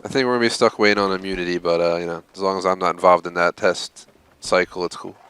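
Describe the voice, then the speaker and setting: A man's voice: low-pitched, fairly even intonation, fast, fairly bright tone, a little hiss or hum. A man hosting a live game stream, chatting casually and rambling with lots of fillers. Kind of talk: casual live-stream chat while gaming.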